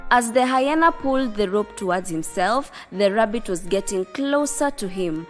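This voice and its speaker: A woman's voice: medium pitch, lively intonation, normal speed. A woman narrating a scripted fairy tale for children in a storybook style. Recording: music under the voice.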